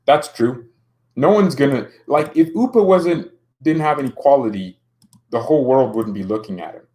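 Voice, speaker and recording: A man's voice: mid-range voice, animated, moderate pace, darkish tone. A young man casually streaming about football, joking around and reading out chat comments. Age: young adult.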